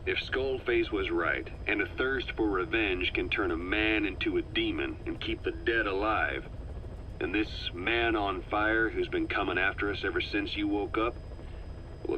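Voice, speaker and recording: An actor playing a wary, brooding veteran intelligence operative, giving briefings in a measured, grave manner for a game. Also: male voice, sits low, highs cut off, a little hiss or hum, close to an everyday voice. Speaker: briefing officer. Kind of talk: dramatic game briefing dialogue.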